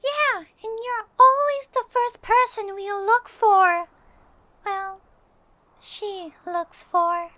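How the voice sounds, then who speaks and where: Squeaky, bright little fairy companion. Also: female voice, fairly even intonation, drawn-out words, no room sound, exaggerated voice. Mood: snarky. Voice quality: squeaky, childlike. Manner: speaks of self in third person, blunt, pouty. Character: tiny childlike female fairy companion, squeaky, cheeky, excitable. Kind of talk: anime game fan audio drama acting.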